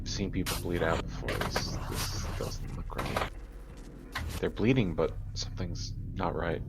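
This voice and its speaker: A man's voice: low voice, a little slow, gravelly, background noise. A man playing a tabletop game, chatting casually and thinking aloud hesitantly, in slightly dull audio. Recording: slightly dull audio, faint music behind.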